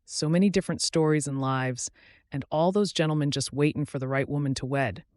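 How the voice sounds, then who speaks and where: A man's voice: on the high side, fairly even intonation, a little fast, a little mellow, no echo, clean studio sound, natural voice. A man voicing a kindly, bashful older woman, a folksy frontier cook in an audiobook. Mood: earnest. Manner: folksy, dropped g's, homely endearments.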